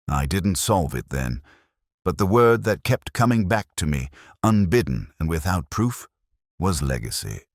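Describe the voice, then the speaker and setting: Very deep-voiced man narrating a mystery. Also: dry recording, clean studio sound. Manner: measured, literary, reflective delivery. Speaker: narrator of a detective story. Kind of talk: scripted first-person mystery narration.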